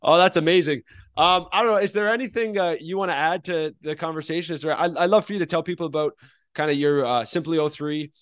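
A man's voice: a little high, fast talker, fairly bright tone, clean tone. A man hosting a podcast in a casual, informal way, with loose rambling phrasing. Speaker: podcast host. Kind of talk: casual conversational interviewing.

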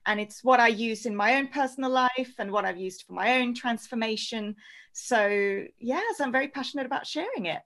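A woman's voice: on the high side, brisk, fairly bright tone. Life coach guest, woman, sincere and reflective. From Norway. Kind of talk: conversational interview answers.

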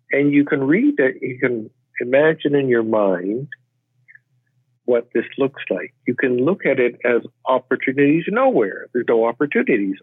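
A Canadian guest explaining immigration in an interview, speaking in a measured, hesitant way with many uh's. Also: male voice, mid-range voice, dry recording. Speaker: podcast guest, immigration expert. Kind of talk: interview answers. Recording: tinny phone line.

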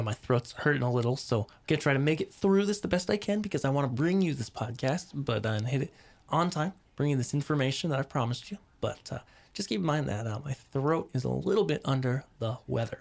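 A man hosting a podcast about stage lighting, casually explaining gear with many ums and restarts while hoarse from a cold. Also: on the high side, darkish tone. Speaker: podcast host.

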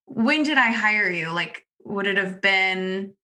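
A woman's voice: medium pitch, lively intonation, takes it slowly, sharp tone. A young adult woman chatting enthusiastically in a casual interview, leaning on "like" as she talks. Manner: chatty, enthusiastic, heavy use of "like". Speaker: interviewer and former client.

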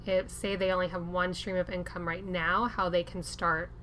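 A young woman hosting a podcast interview, talking in a casual, relaxed way and filling her speech with "like". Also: on the low side, moderate pace, a little hiss or hum. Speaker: podcast host.

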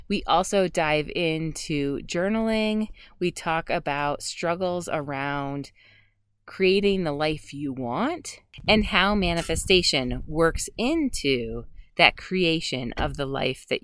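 A woman's voice: sits low, clean tone. A woman hosting an interview podcast, introducing topics and asking her guest questions. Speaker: podcast host.

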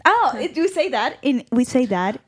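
High laughing woman with a Spanish accent. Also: big pitch swings, normal speed. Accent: Latin American Spanish. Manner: laughs often, switches between English and Spanish. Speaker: guest. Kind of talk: casual chat.

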